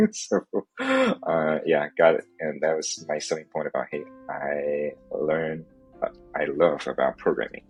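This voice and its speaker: A man's voice: a bass voice, breathy edge, rough recording. A man in his thirties, raised in South Africa and Taiwan, telling his founder story in a rambling interview and laughing often. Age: 30s. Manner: rambling, laughs often, non-native phrasing. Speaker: startup founder guest. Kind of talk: casual podcast interview. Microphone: remote call from home.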